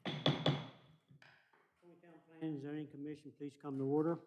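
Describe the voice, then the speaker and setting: A man's voice: a little high, level tone, normal speed, muffled recording. A man chairing a town planning commission meeting in a formal, procedural way. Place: council meeting room.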